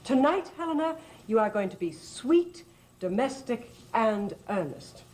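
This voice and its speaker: Gushing, high-pitched elegant older woman. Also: big pitch swings, unhurried, a little mellow. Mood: arch, teasing. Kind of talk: 1970s gothic soap opera acting.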